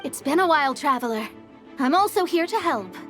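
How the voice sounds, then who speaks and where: An actress playing a poised, self-assured young astrologer in a video game, with a slightly theatrical manner. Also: female voice, sits high, expressive, a little fast, fairly bright tone, soft background music. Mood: confident. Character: confident young female astrologer, poised and grand.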